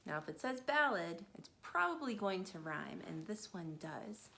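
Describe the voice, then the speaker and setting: A woman in her thirties reading picture books aloud to children, expressive and sing-song, and a little tired. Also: on the low side, normal speed, gravelly, slight background noise. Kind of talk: picture-book read-aloud.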